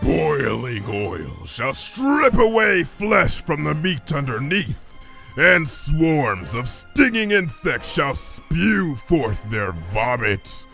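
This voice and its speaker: A man's voice: a little high, sing-song, slow, vocal fry, pushed and bright, faint music behind, full character voice. A man voicing a menacing little alligator who proclaims doom in a grandiose, ominous manner in a story. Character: baby alligator as menacing apocalyptic prophet of doom. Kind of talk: storybook character acting.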